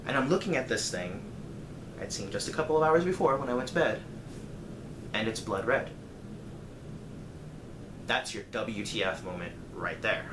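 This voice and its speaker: A man's voice: fairly low pitch, lively intonation, moderate pace, long pauses. A man telling a personal story casually, in a rambling, conversational way with dramatic emphasis. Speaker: storyteller vlogger. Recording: echoey room, clear background noise.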